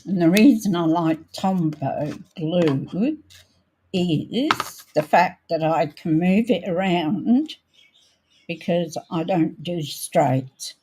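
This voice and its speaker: A woman with a croaky voice hosting a casual live craft demonstration, thinking aloud as she works and sometimes clearing her throat. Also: on the low side, animated, warm tone, slight background noise. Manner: unhurried, thinking aloud while working. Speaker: craft tutorial host.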